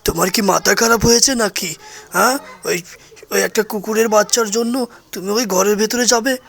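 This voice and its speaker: A man's voice: sits high, moderate pace, creaky, a bit bright, soft background music. A man voicing a cowardly village husband in a cartoon, agitated and pleading with stammered repeats. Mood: alarmed, scolding. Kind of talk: cartoon dialogue.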